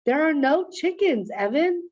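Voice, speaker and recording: A woman's voice: high voice, animated, measured pace, darkish tone, dry recording, voice pushed up. A woman voicing a bossy, literal-minded and puzzled little girl in a storybook, blunt and questioning, on a muffled recording. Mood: puzzled, insistent.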